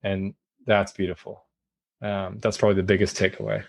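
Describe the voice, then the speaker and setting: A man's voice: on the low side, monotone, normal speed, creaky, no room sound. A man talking as a podcast guest, earnest and rambling, laughing easily, a little hot and sweaty. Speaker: podcast guest, men's group facilitator. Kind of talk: casual conversational interview.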